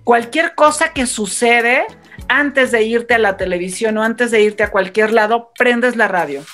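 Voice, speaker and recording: A woman's voice: on the high side, a little slow, a bit bright, faint music behind. A woman with a Mexican accent talking effusively and emphatically as a guest in a conversational radio panel.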